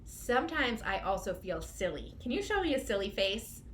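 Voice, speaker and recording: A woman's voice: mid-range voice, very animated, normal speed. A woman hosting a children's storytime as a librarian, giving simple, encouraging instructions to young children. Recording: clearly noisy room recording.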